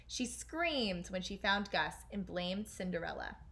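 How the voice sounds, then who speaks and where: A woman teacher reading a children's fairy tale aloud in an expressive storytelling style. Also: lowish voice, unhurried, creaky.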